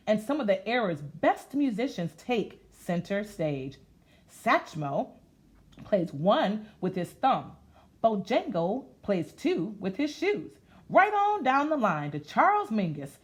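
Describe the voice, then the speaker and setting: A woman with a slightly high voice leads a children's story time. She reads slowly with big swoops in pitch, in a sing-song, expressive style that speaks straight to young listeners. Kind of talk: interactive read-aloud to children, part sung.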